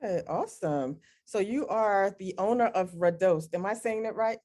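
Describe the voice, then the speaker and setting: Female arts show host, medium pitch. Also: moderate pace, warm tone. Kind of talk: hosting an arts interview show.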